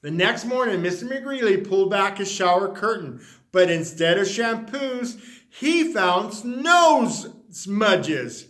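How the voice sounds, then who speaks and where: Man, children's story read-aloud, high bright voice, unhurried, expressive. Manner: expressive, drops to a hush for refrains.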